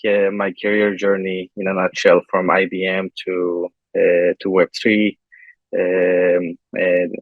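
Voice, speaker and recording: A man from Turkey answering interview questions in a halting way, with many pauses and fillers, over a video call. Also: sits low, no echo. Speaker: interview guest, software engineer. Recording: tinny phone-like line, dry.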